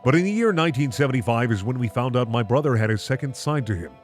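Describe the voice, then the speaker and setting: A man's voice: mid-range voice, animated, fast talker, soft background music, close to an everyday voice. A man voicing a naive teenage girl who earnestly tells her own story.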